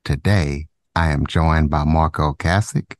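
A podcast host with a very deep voice reads his opening in a measured way. The man sounds dry and close, with no room around him. He moves on to friendly questions for his guest. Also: fairly even intonation, no room sound. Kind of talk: scripted podcast intro and interview questions.

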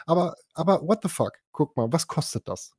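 A man chatting casually on a podcast, excitable and crude, swearing and mixing in English slang. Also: a little high, brisk, slightly rough, no echo, clean studio sound. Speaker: podcast host. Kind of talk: casual chat.

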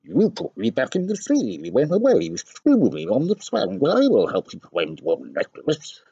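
A man voicing a kind, reassuring fish in a storybook, gentle and warm-hearted. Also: on the high side, wildly swooping pitch, a little slow, gravelly, slightly rough, no room sound, highs cut off, voice pushed up, heavily acted. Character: kindly fish friend.

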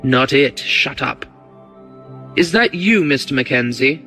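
A man reading the part of a cool, bold, quick-witted English gentleman rogue in an audiobook, breezy and commanding. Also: medium pitch, lively intonation, soft background music, heavily acted. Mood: tense, brisk.

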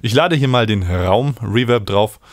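A man. He explains each step calmly, like the host of a screen-recorded production lesson. The sound is dry, with very little room on it. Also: lowish voice, unhurried, no echo. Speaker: music production tutorial host. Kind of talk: screen-recorded software tutorial.

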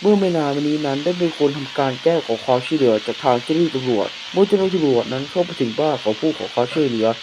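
A man playing a solemn government announcer, formally reading a measured emergency warning for an analog horror broadcast. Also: a little high, animated, music in the background, natural voice. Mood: solemn, official. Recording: clearly noisy hissing background.

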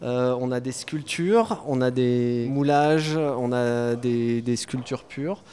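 A man in his thirties, a gallery co-owner, answering an interview in a small gallery with many hesitant pauses into a handheld mic. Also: medium pitch, mellow, smooth. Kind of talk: on-camera interview. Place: small art gallery indoors.

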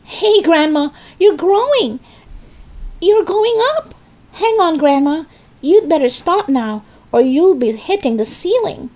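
A woman's voice: high-pitched, expressive, a little slow, hardly any pauses, a little mellow, pitched above the performer's own voice. A woman voicing a young boy in a children's storybook, earnest and urgent as he protests and pleads. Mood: alarmed.

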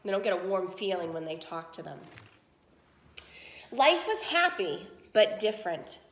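A woman's voice: medium pitch, very animated, a little slow, a bit bright, roomy sound. A woman reading a picture book aloud to children like a teacher, explaining as she goes and checking understanding. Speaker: read-aloud storyteller, teacher.

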